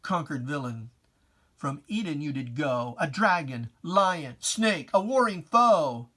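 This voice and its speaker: Male poetry reader, bright forceful voice, slow measured pacing, theatrical emphasis, religious verse recital. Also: a little high, animated. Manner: declamatory, emphatic, theatrical delivery. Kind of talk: dramatic reading of religious verse.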